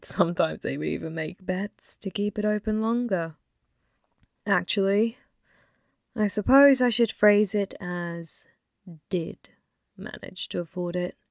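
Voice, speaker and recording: A woman playing a flirty, possessive, taunting female demon in an ASMR roleplay, slow and intimate, often slipping toward a whisper. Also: mid-range voice, vocal fry, no room sound, studio quality. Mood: taunting, confiding.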